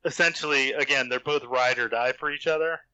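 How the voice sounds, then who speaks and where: Bright-voiced man from the United States chatting. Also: medium pitch, fairly even intonation, fairly quick, clean tone, dry recording, muffled recording. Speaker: podcast guest, fan fiction author. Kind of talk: casual chat.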